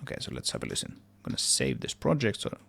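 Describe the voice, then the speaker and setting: A man giving a casual software tutorial, thinking aloud, correcting himself and trailing off as he works. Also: very deep voice, wildly swooping pitch, quick pace, vocal fry, slight background noise. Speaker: tutorial presenter, game audio developer.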